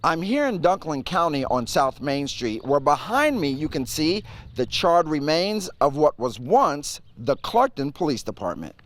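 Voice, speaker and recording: A man's voice: a little high, very animated, unhurried, a bit bright. A man with an American accent delivering scripted broadcast news as an anchor and field reporter.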